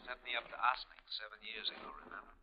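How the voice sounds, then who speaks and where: Low-voiced, tough ex-con played by a man. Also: little pitch movement, rapid-fire, close to an everyday voice. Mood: sly, pressing. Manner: streetwise, insistent. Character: tough, wary male ex-convict with a scheme. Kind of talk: 1950s radio crime drama acting. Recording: muffled, noisy old radio recording.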